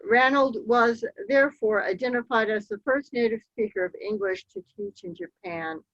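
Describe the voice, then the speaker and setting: A woman giving a slide-show history talk, telling the story in a measured way with occasional ums. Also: on the high side, lively intonation, gravelly, a bit bright, no room sound. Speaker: local history speaker. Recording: dull, lo-fi dry recording.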